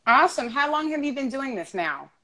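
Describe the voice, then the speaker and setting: A woman's voice: sits high, sing-song, a little fast, sharp tone. Upbeat woman hosting a podcast. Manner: upbeat, encouraging. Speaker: podcast host. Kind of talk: casual interview and intro. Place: Brooklyn.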